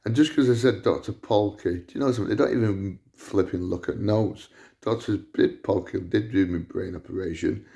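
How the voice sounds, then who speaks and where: A man with a loud voice, delivering an indignant, repetitive rant to camera as a vlogger. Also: fairly low pitch, brisk, creaky. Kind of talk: ranting monologue to camera.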